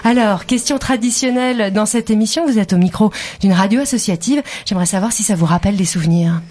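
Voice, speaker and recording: A woman's voice: medium pitch, fast, darkish tone. A woman hosting a radio interview, asking her guest questions in a conversational way. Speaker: podcast host, interviewer.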